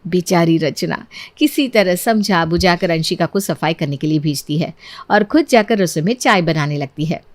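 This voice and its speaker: A woman's voice: on the low side, normal speed, dry recording. A woman reading a scripted moral story as its narrator.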